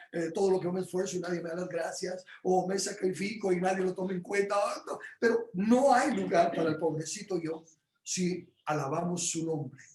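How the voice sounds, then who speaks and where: A man teaching his home group about praise in a casual but animated way, jumping into loud exclamations and stumbling over fillers. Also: sits high, reverberant. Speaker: Bible teacher.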